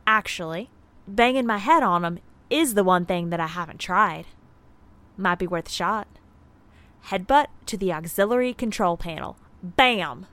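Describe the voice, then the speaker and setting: A woman's voice: lowish voice, pushed and bright. A woman playing a goofy, exasperated moonbase maintenance worker who rambles casually alone in a sci-fi audio drama. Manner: casual, rambling, talking to oneself.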